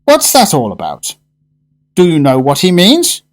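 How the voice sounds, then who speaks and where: A man's voice: on the high side, wildly swooping pitch, unhurried. An actor playing a pompous, eager male gourmet in a radio drama, with a fussy, exclamatory way of talking. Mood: brisk, puzzled.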